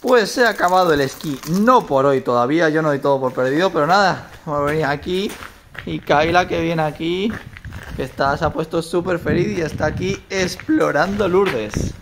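A man in his thirties with a Castilian Spanish accent vlogs in an informal, expressive way. His voice sits a little high. The handheld recording carries light noise. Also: normal speed. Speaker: vlogger. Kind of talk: casual vlog narration and chat. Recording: noisy handheld on-location recording.

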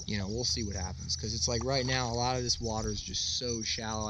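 A man's voice: lowish voice, heavy background noise. A young man talking casually to his camera while fishing from a small boat on a pond, rambling with plenty of fillers. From California. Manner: laid-back, rambling, lots of fillers. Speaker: fishing vlogger. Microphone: action camera mounted on the boat.

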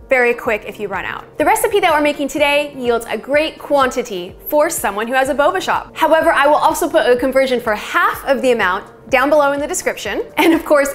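A woman's voice: high voice, fairly bright tone. A woman in her thirties, a bubble tea shop owner, giving an upbeat, chatty recipe tutorial to camera in a small shop kitchen.

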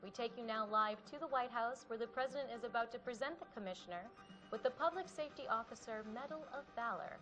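A television news anchor. Her voice is somewhat high, delivering a formal, serious scripted report. Faint music runs beneath. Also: quick pace. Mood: serious, businesslike. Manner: formal, even newsreading.

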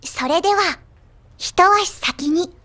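A woman playing a quirky teenage girl idol with a deadpan, polite delivery in an anime audio drama. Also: extremely high pitch, slow pace, exaggerated voice. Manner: deadpan, flat delivery of jokes. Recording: music under the voice.

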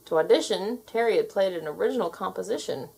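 A woman reading a children's story aloud in an expressive, scripted narrating style. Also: medium pitch, fast, vocal fry, slightly rough, poor audio. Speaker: storybook reader.

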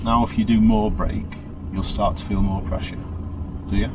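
A man's voice: lowish voice, moderate pace, lo-fi sound. Patient male driving instructor. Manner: calm, encouraging, checks understanding often. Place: inside a parked car. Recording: noisy car interior.

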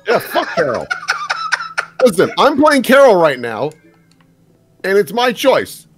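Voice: mid-range voice, wildly swooping pitch, slow pace, music in the background. A young adult game streamer in casual group banter, sarcastic and freely swearing. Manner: sarcastic, profane.

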